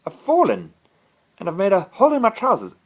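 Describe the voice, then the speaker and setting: A cowardly, mocking young bully from a storybook, acted by a man reading aloud. The voice jumps up high and loud, yelping and jeering with animated pitch. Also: a little fast, full character voice. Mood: whining, pained. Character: mocking bully boy, cowardly and whiny when hurt.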